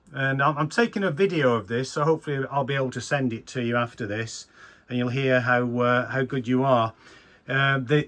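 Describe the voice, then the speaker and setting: Chatty, enthusiastic English radio ham. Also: male voice, medium pitch, a bit bright. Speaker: amateur radio operator. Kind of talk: casual radio conversation. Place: small indoor radio room.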